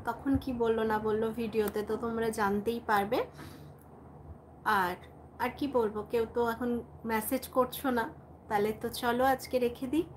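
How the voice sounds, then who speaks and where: A woman's voice: fairly high pitch, flat delivery, moderate pace, pauses often. A woman hosting a casual live chat, talking informally to her viewers. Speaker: livestream host, vlogger. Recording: some background noise, home livestream.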